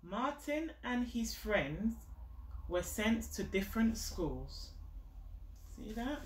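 A woman's voice: a little high, very animated, slow, slight background noise. A woman in her thirties reading a picture book aloud to a child, encouraging and explaining things simply. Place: living room sofa at home, indoors. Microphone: camera a step or two away. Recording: echoey room, distant mic.

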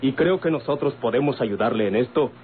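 A man's voice: medium pitch, animated, brisk, narrow, tinny audio, natural voice. An actor playing an earnest, polite man in a vintage radio drama, explaining formally and insistently with a Mexican accent. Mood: earnest, serious. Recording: thin, hissy old radio recording.

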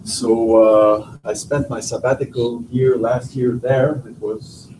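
A man's voice: mid-range voice, fairly even intonation, recorded from a distance, very noisy. French-accented man lecturing in a roomy hall. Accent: Québécois French. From Quebec, Canada. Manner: halting, many restarts and fillers. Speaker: guest lecturer, professor. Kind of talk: academic seminar talk with slides. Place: lecture room.

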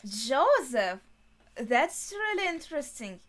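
A young adult woman giving casual, unscripted reaction commentary, thinking aloud with hesitant pauses mid-thought. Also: high-pitched, very animated. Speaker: reaction video host. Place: small furnished bedroom, indoors.

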